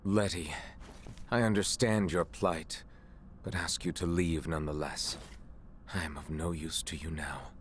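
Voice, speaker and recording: An actor playing a remorseful imprisoned nobleman warrior in a video game, speaking in formal, measured, resolute phrases. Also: deep voice, fairly even intonation, slight background noise. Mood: remorseful, solemn. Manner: formal, archaic, measured speech. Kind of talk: video game cutscene acting.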